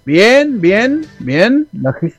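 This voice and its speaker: High-voiced Uruguayan radio host, chatty. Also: male voice, big pitch swings, extremely slow, no echo, faint music behind. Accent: Uruguayan Spanish. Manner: chatty, many "eh" fillers and restarts. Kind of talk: casual radio chat reading listener messages.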